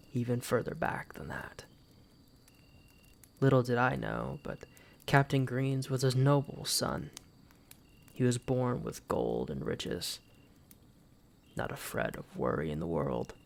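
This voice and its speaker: Casting: male actor as proud, wounded exiled knight for fantasy audio roleplay; very creaky voice, unhurried, archaic formal delivery. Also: mid-range voice, sing-song, exaggerated voice. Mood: bitter, resigned. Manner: formal, archaic, solemn storytelling with dramatic pauses. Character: embittered exiled knight, wounded pride, grieving for his mother. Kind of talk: first-person fantasy roleplay audio drama.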